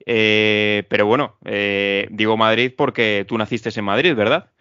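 A man with a Spanish accent from Spain hosting a podcast interview, talking in a halting way, with many fillers and repeated words. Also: on the low side, steady tone, sharp tone, smooth, no echo, muffled recording. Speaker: podcast host. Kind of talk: casual interview.

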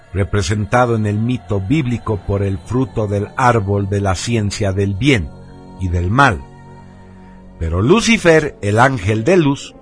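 A man reading a scripted radio narration in a solemn, measured and emphatic manner. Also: fairly low pitch. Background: music.

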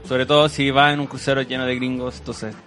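Un hombre con acento chileno en una charla casual entre amigos, bromista y de risa fácil. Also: male voice, medium pitch, level tone, a little fast, fairly bright tone, faint music behind. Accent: Chilean Spanish. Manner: jokey, laughs often. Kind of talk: casual chat.

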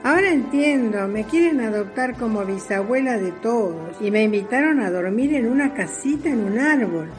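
Woman acting an animated old Argentine great-grandmother aviatrix. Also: on the high side, moderate pace, hardly any pauses, loud music behind the voice, natural voice. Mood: delighted wonder. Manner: animated, exclaiming. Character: spirited old great-grandmother, retired aviatrix, dreamy and kind. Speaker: student voice actor. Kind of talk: children's radio play acting.

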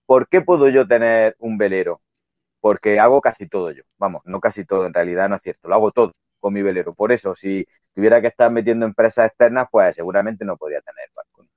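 Low-voiced man, lo-fi call. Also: fast talker, dry recording. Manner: relaxed, rambling explanations. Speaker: guest, sailing youtuber. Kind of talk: casual video interview. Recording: dull lo-fi sound.

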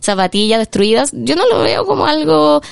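Chilean woman, mid-thirties, host, bit high with vocal fry, dry sound. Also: big pitch swings, moderate pace, dry recording. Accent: Chilean Spanish. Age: 30s. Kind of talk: casual chat.

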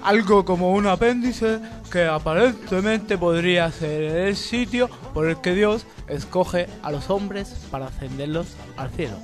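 Man putting on a high, halting solemn churchman. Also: faint music behind. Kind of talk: radio comedy sketch.

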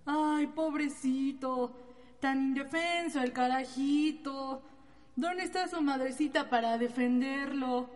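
A woman acts out a foul-mouthed, mocking Mexican inmate in a radio drama. The high voice is coarse and bitter, ringing through a long reverb. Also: slow pace, soft background music.

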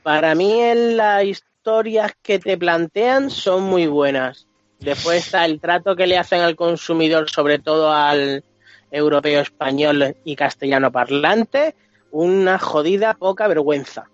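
A man's voice: on the high side, measured pace, no room sound. A man with an accent from Spain chatting casually and bluntly on a video game podcast, swearing freely and speaking with emphasis. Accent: Spanish from Spain. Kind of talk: casual chat. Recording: dull lo-fi sound, music behind.

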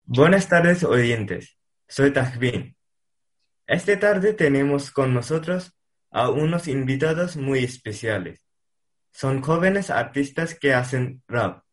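A man's voice: mid-range voice, animated, slow. A man working as a radio reporter, asking his guests questions carefully. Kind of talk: interviewing guests.

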